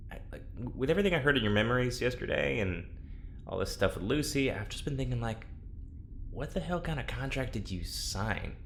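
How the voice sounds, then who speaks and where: A man playing a nervous, well-meaning research subject in an audio drama, halting and stammering, with a dry wry streak. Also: medium pitch, animated, a bit bright, a little hiss or hum. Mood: probing, tentative. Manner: halting, stammering, tentative, dryly sarcastic. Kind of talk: naturalistic audio drama acting.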